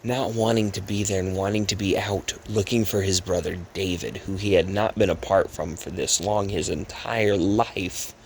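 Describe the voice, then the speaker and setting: A man narrating an amateur horror story in a measured storytelling manner, with slight stumbles. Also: low voice, fairly even intonation, gravelly, slight background noise, rough recording. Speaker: narrator and voice actor of a fan horror dub.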